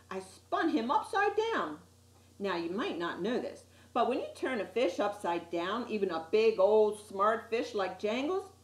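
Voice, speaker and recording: Woman reading a storybook in an echoey room. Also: on the high side. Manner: dramatic, deliberate storytelling with emphasised words. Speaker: storytime reader. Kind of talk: expressive children's picture book reading. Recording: roomy, echoey sound.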